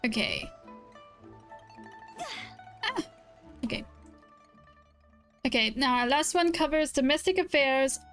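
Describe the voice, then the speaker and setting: A woman's voice: sits high, expressive, takes it slowly, long pauses, a bit bright, faint music behind. A woman streamer giving bubbly, chatty commentary over a game playthrough and reading its text aloud. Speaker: gaming streamer.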